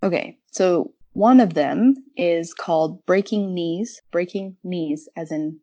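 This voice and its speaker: A woman's voice: lowish voice, slow pace, dark tone, no echo, highs cut off. A woman chatting casually and enthusiastically about literature as a podcast guest.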